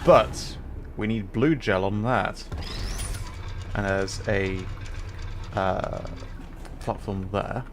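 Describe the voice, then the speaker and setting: A man's voice: fairly low pitch, fairly even intonation, extremely slow, pauses often. A man livestreaming a game, explaining puzzles casually and hesitantly, piece by piece as he thinks aloud. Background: faint noise and game ambience.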